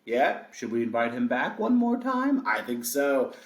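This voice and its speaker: A man's voice: medium pitch, very animated, unhurried. A man hosting a children's reading show, talking casually and upbeat to young viewers. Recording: echoey, roomy sound.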